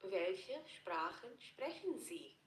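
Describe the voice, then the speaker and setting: A woman teaching German phrases in a scripted lesson narration, repeating each phrase slowly and carefully. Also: medium pitch, sing-song, slight background noise. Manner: slow, careful repetition of phrases. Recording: roomy echo.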